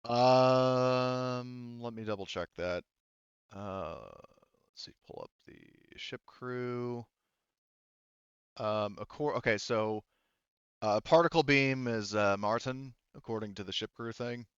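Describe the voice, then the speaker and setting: A man running a tabletop game as game master, explaining rules casually in a halting way and often repeating himself. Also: mid-range voice, clean tone, no echo, muffled recording.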